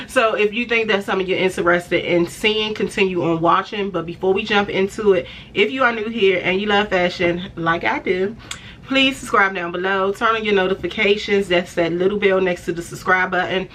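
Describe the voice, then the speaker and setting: A woman in her forties from the Washington area, talking to camera in a relaxed, chatty way with lots of fillers and laughs, her voice slightly raspy and stuffy after an illness. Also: medium pitch, normal speed, a little hiss or hum. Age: 40s. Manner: chatty, affectionate, many fillers, laughs often. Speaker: fashion vlogger. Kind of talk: casual talk to camera.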